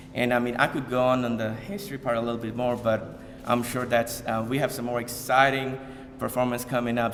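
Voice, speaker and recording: Halting man speaking in a reverberant hall. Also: medium pitch, slight background noise. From Bangladesh. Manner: halting, many fillers and repeated starts. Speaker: community speaker. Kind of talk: informal public talk to an audience. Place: event hall.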